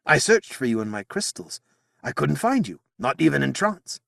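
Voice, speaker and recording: A man's voice: on the high side, big pitch swings, moderate pace, gravelly, a bit bright, dry recording, studio quality, voice pushed up, exaggerated voice. A man voicing an old bald male wizard, agitated and reproachful, in an audiobook reading. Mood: troubled. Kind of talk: audiobook character voice.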